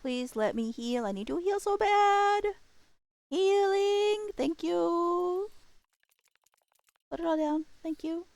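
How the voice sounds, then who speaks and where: A woman streamer gives casual commentary while she plays, thinking out loud. Her delivery is unhurried, with long easy phrasing, yet her pitch jumps around freely. The sound is close and dry, with no room on it. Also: lively intonation, no echo. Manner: talks to herself and to the game, thinking aloud. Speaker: game streamer. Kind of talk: casual live gameplay commentary.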